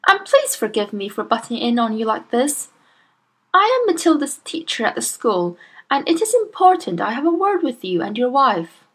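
An earnest young schoolteacher who stays polite but will not back down, as a woman reader plays her. Her voice sits high and lively, with a breathy, husky grain. She presses her point with rising, emphatic lines in a storybook reading. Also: moderate pace. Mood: earnest, firm.

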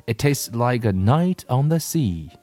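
A man's voice: mid-range voice, animated, a little slow, warm tone, no room sound, soft background music, pitched above the performer's own voice. A man voicing a curious, greedy little boy who is the younger brother, in a raised, wheedling voice.

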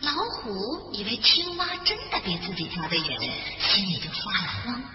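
High-voiced, animated woman storyteller in echo. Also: moderate pace. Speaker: children's storyteller. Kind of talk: animated bedtime fable narration. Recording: echoey long reverb, muffled, music behind.

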